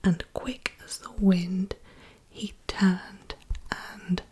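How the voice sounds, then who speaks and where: A woman reading a picture book aloud in a soft whisper, pointing out the illustrations as she goes. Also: mid-range voice, little pitch movement, extremely slow. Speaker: storybook reader. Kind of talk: whispered ASMR storybook reading.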